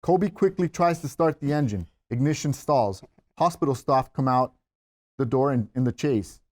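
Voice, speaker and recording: Male narrator, medium pitch, scripted stage-direction read for a screenplay. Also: moderate pace, a little mellow.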